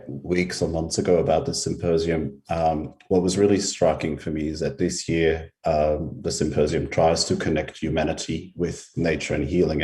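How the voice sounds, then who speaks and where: A man from Belgium presents his research to a conference over a video call. His voice sits extremely low and has a mellow, dark tone. He speaks in a relaxed, unhurried way, often pausing on ums as he gathers his thoughts. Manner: relaxed, hesitant with frequent ums.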